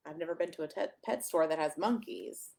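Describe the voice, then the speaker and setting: A woman reading a picture book aloud at children's storytime, expressive and sing-song, with emphatic pauses and little asides to the listeners. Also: sits low, vocal fry.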